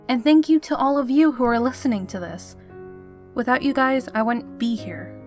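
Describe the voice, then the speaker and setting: High-voiced woman hosting, guitar music behind. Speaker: channel host and fan fiction reader. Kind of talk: informal scripted outro to listeners. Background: plucked guitar music.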